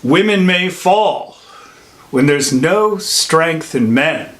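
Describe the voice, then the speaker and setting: A man playing a wise old holy friar in a classical Shakespearean monologue, delivering verse in a deliberate, theatrical manner. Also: fairly high pitch, animated, a bit bright. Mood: astonished, chiding.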